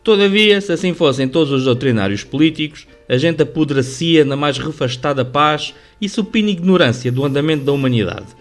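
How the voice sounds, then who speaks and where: A male narrator reads a classic story for radio in a slightly high voice. His European Portuguese delivery is formal and measured, a literary storyteller's reading over soft music. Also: expressive. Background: music under the voice.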